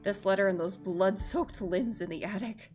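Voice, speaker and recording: A woman's voice: mid-range voice, normal speed, darkish tone, faint music behind. A woman streamer reading a visual novel aloud expressively, with casual, sweary reactions of her own.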